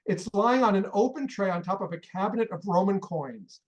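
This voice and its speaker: An actor playing an impatient older American millionaire who barks orders and scolds. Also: male voice, high voice, animated, a little mellow, muffled recording. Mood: scolding. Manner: barking, scolding, rapid-fire orders. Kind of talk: radio play acting.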